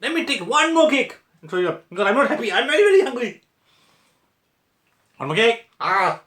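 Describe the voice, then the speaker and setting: A young man, playful and loud, talking straight to his viewers. His voice sits high and swoops all over. It bounces around an echoey room. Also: very animated, fairly quick. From Malaysia. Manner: playful and exclamatory, laughs often, talks to viewers. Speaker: food vlogger. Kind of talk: casual taste-test vlog.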